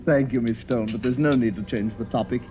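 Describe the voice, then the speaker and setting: A man's voice: mid-range voice, fairly even intonation, a little fast, dark tone, natural voice. An actor playing an arrogant, formally polite, sardonic man in his late thirties in an old-time radio drama. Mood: mocking amusement. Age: late 30s. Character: arrogant, well-groomed murder suspect, mocking, then panicked. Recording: vintage radio recording, music behind.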